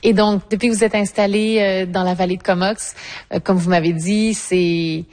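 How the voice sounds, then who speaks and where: A woman radio host introducing a guest and asking measured, conversational interview questions. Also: medium pitch, steady tone, darkish tone, no echo.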